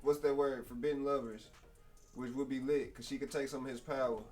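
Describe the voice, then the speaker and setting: A man's voice: medium pitch, level tone, fairly quick, a little mellow, far from the mic, soft background music. A man co-hosting a live podcast in casual, slangy, profane chat, often repeating little tag phrases. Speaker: live stream podcast co-host. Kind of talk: casual chat with friends about video games.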